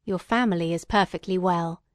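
A woman voicing a benevolent male magistrate in a storybook, measured and formal. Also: mid-range voice, steady tone, no echo, clean studio sound, close to an everyday voice. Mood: gentle. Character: benevolent male magistrate, gentle but sometimes severe.

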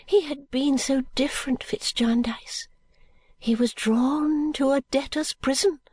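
A woman's voice: steady tone, unhurried, a little mellow, no echo. A woman doing a frail, rambling old woman who whispers secrets. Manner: high fluttering swoops dropping into whispers.

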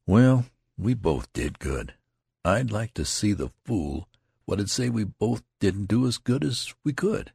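A man's voice: on the low side, animated, a little slow, creaky, a little mellow, slightly rough, no echo, pitched above the performer's own voice, exaggerated voice. A man voicing a philosophical but easily exasperated young soldier in an audiobook, with plain rustic grammar. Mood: soothing. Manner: plain rustic ungrammatical talk.

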